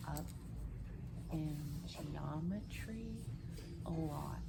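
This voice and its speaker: Amid loud room noise, a middle-aged woman speaks in a low, slightly creaky voice. She takes her time, echoing instruction in short, deliberate phrases during a tutoring session. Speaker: parent cueing speech for her son during tutoring. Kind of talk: repeating a tutor's words phrase by phrase as transliterator. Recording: very noisy tutoring room recording.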